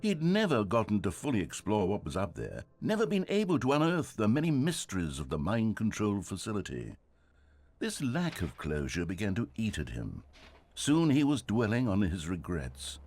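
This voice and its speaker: An actor playing a wry, theatrical storybook narrator in a video game. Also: male voice, on the low side, darkish tone, slight background noise. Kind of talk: scripted narration.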